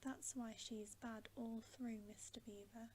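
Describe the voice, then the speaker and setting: A homely, motherly beaver wife from a storybook , read by a woman. She is frank and plain-spoken, talking in a slow, mellow hush. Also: on the high side, fairly even intonation, slight background noise, natural voice. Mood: frank, knowing. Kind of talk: whispered storybook character reading.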